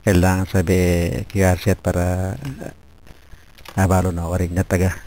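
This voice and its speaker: A man with a Filipino accent chatting casually and haltingly as an amateur radio operator over a noisy link. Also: low-pitched, completely flat delivery, dark tone. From Philippines, Pampanga region. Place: office.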